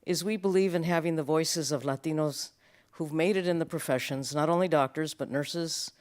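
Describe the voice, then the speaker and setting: A woman, president of a medical association, speaking as a panelist in a measured way with some fillers. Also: low voice, darkish tone. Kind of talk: panel remarks. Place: conference event hall.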